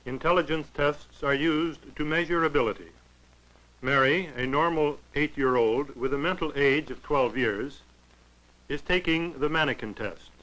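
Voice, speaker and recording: A man narrating a 1940s educational film in a formal, clinical, measured way. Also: a little high, a bit bright, rough recording.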